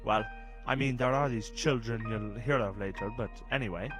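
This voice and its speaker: A man plays a young saint guiding a child in an audio drama. He is kindly and a little wry, explaining with gentle pedantry in a medium-pitched voice over music. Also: normal speed, natural voice, low-quality recording.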